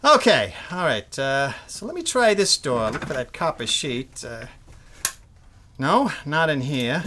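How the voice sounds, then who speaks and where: A man's voice: on the high side, big pitch swings, unhurried, pushed and bright, exaggerated voice. A man playing an eager, jumpy young studio worker in a live old-time radio drama, folksy and nervous. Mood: nervous muttering. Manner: folksy, nervous, muttering to himself, exclaiming. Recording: live stage recording with faint noise.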